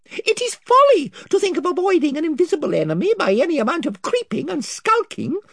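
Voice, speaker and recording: A man's voice: sing-song, brisk, gravelly, fairly bright tone, no room sound, clean studio sound, heavily acted. A man voicing a proud, valiant little male mouse knight who speaks in a bold, formal manner. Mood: stern. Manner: bold, formal, high-flown. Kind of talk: audiobook character voice.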